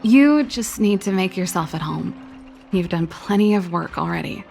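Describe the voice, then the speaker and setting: A young, spirited big sister from a video game, an inventor and musician. Her voice sits a little low with a gravelly edge. She is teasing and expressive, with a wistful streak, over soft background music. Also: moderate pace. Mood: nostalgic. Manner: breezy, expressive, with sighs and trailing reflection.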